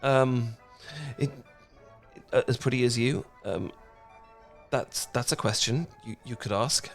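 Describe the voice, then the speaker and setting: A man's voice: mid-range voice, vocal fry, faint music behind. A man playing an awkward, anxious, smitten British dork in a romantic ASMR roleplay, stammering and apologetic as he goes. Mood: sheepish, nervous. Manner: stammering, flustered, halting, apologetic.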